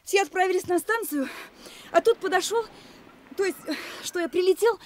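A woman's voice: very high voice, moderate pace, a little hiss or hum. An actress playing a flustered, tender, earnest woman in her thirties in a radio play, nervously repeating herself. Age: 30s.

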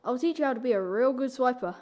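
High-voiced performer as a cocky orphan boy pickpocket. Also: animated, quick pace, darkish tone. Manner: brash, boastful.

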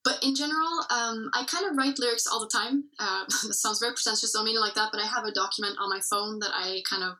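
Thoughtful woman, bright voice, distant mic. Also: on the high side, quick pace, few pauses. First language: English. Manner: reflective, many fillers and restarts. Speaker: musician guest. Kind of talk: casual interview chat.

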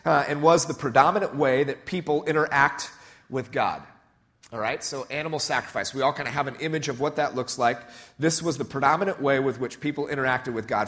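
A man's voice: on the high side, a bit bright. A man preaching a conversational sermon, nasal and stuffed up from a cold, with many fillers and restarts. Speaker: pastor.

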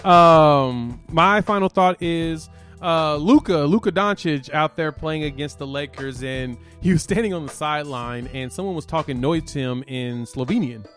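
A man's voice: fairly high pitch, normal speed, soft background music. Laid-back man chatting sports. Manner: relaxed, laughs often, storytelling. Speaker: sports podcast co-host. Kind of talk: casual sports chat.

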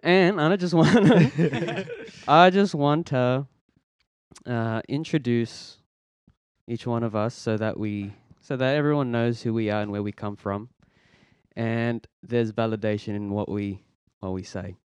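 A man, hosting a casual faith podcast in an earnest, halting way with many restarts. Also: mid-range voice, darkish tone, smooth, dry recording. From Philippines, raised in Australia. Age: 20s. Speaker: podcast host. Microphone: podcast microphone.

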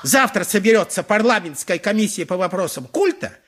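An actor playing a pompous, lecherous high priest in a theatrical radio play, speaking in an oratorical, unctuous way. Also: male voice, big pitch swings, a little fast, a bit bright, heavily acted. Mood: indignant, scheming. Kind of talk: 1980s Soviet radio play acting.

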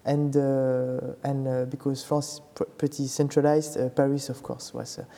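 A man from Belgium answers interview questions haltingly, pausing often and circling back on his words. His voice is very dark and mellow, with a long reverb from the room. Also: medium pitch, little pitch movement. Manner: hesitant, many fillers, halting phrasing. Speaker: guest, brand founder. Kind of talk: casual interview. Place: fashion atelier.

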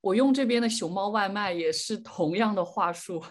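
A woman chats casually as a podcast co-host. Her voice sits at a middle pitch and has a lightly husky edge. The delivery is informal and conversational. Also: moderate pace.

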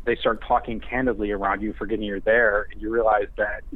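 A man's voice: lowish voice, flat delivery, a little fast, no echo. A man speaking over a phone line as an interview guest, hesitant and self-deprecating in casual conversation. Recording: band-limited phone line.

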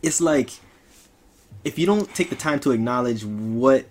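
Husky man explaining at length. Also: medium pitch, expressive, measured pace, darkish tone. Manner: explains at length with examples, says "you know what I mean". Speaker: podcast co-host. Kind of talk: casual chat.

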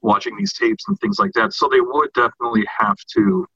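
A man co-hosting a casual podcast discussion about fiction, talking in a halting way with repeated and restarted phrases. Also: a little high, big pitch swings, vocal fry, sharp tone, husky. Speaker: podcast co-host. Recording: dull, roomy lo-fi sound.

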